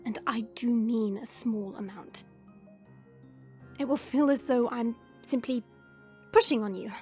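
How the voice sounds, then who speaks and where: Woman voicing a high, solemn dragon queen, music behind. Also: a little mellow, natural voice. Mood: solemn reassurance. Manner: measured, formal, deliberate phrasing. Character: regal female dragon queen, solemn, earnest and resolute. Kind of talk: scripted audio roleplay acting with first-person narration. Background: background music.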